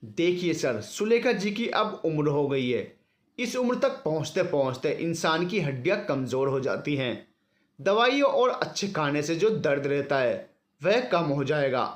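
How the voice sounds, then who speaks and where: Man voicing a calm, reassuring woman doctor. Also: fairly high pitch, measured pace. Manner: reassuring, explanatory, polite.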